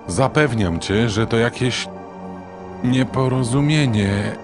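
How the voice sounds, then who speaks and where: A man playing a petulant, theatrical dark god in a comic fantasy game cutscene, whiny and melodramatic, with a creaky voice. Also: mid-range voice, slow. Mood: pleading. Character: petulant, theatrical villainous dark god who loathes green. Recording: music under the voice.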